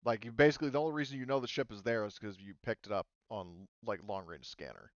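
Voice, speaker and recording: A man narrating a tabletop roleplaying game as game master, improvising in a halting way with many pauses. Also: on the low side, no room sound, muffled recording.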